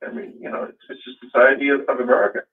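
A man's voice: mid-range voice, flat delivery, rapid-fire. A man hosting a call-in show, talking in a rambling, questioning way with many fillers. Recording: phone-like line.

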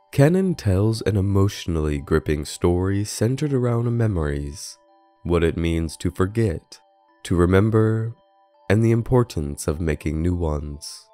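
Low, dark-voiced man narrating. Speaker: video essay narrator and reviewer. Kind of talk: scripted narration. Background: background music.

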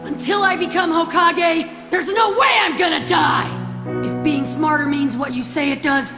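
Lady doing brash anime ninja kid, boy, super high pitched, blunt n emphatic, music behind. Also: fairly even intonation, moderate pace. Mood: fierce, determined. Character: brash, determined teenage boy ninja student. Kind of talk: English anime dub acting.

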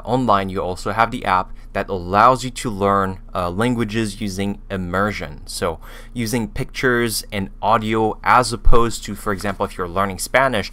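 Low bright-toned man with a French accent. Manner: hesitant, many fillers and restarts. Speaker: language learning YouTuber. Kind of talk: casual video review. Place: small room indoors. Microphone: studio mic with pop filter on boom arm.

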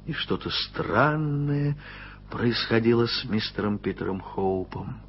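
A man giving calm, measured narration in a radio play. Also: mid-range voice, a little hiss or hum. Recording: dull old radio recording with soft music.

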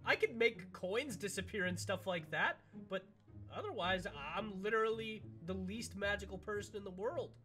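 A man's voice: high voice, normal speed, bright, forceful tone. A man playing an easygoing, curious animatronic pirate fox, rambling casually and wryly in a Minecraft roleplay. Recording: music behind the voice.

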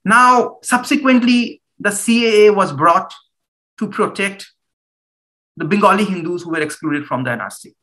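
Bright-voiced Indian man, earnest. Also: sits high, moderate pace, rough recording. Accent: Indian English. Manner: earnest, pointed rhetorical questions; animated hand gestures. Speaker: human rights lawyer. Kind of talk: public talk. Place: small room at home, indoors.

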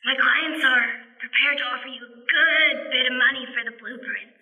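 A woman playing a menacing, coaxing mystery caller in a radio play, slow and insinuating. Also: high voice, far from the mic, phone-quality sound, lightly acted.

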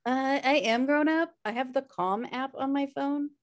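A woman's voice: high voice, normal speed, lightly acted. A woman playing a chill, messy, sarcastic twin sister in a comedy table read on a video call. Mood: wry, exasperated. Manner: wry, sarcastic. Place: home room, video call.

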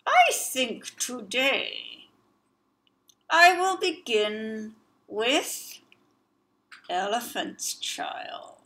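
A woman voicing a sly, menacing male crocodile in a slow, hushed whisper. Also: a little high, huge pitch swings, exaggerated voice.

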